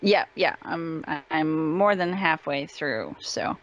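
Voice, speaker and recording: A woman's voice: low-pitched, expressive, slow, dull audio. A woman who works as a voice actress, chatting casually as an interview guest.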